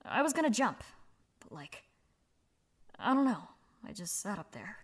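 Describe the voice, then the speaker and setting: A woman voicing a young woman speaking softly and haltingly about her past, in a fan audio drama. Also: mid-range voice, sing-song, slightly rough. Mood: somber. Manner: soft, halting, confessional. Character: young woman, subdued, candid.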